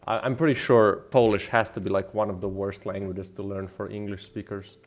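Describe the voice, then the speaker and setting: A man chatting casually as he works on a livestream, rambling and thinking out loud. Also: on the low side, fairly even intonation, fairly quick. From Slovenia. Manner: rambling, thinking aloud, mildly profane. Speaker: game developer and streamer. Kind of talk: casual livestream chat while working. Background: background music.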